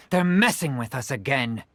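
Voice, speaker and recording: A woman's voice: extremely low pitch, animated, a little slow, a bit bright, exaggerated voice. An actress playing a sardonic, defiant woman in a video game. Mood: defiant, scornful. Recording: music behind the voice.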